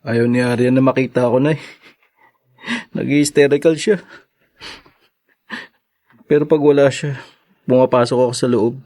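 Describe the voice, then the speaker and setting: A man's voice: medium pitch, steady tone, moderate pace, pauses often, mellow, lightly acted. A Filipino man playing a guilt-ridden, pleading young husband in a radio drama. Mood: remorseful. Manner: pleading, remorseful.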